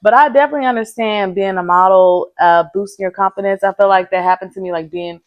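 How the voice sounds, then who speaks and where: Animated woman co-host, mid voice. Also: medium pitch, fast talker, lo-fi sound. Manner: animated, acts out quotes, agreeable back-channeling. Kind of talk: casual conversational interview.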